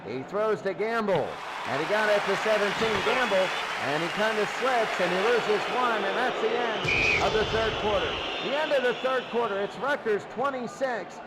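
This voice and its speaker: A man with a high-pitched voice gives informal play-by-play football commentary. He drops in asides as he goes, over clearly audible background noise. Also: moderate pace, hardly any pauses, a loud crowd. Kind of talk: live sports commentary. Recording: clearly noisy game broadcast.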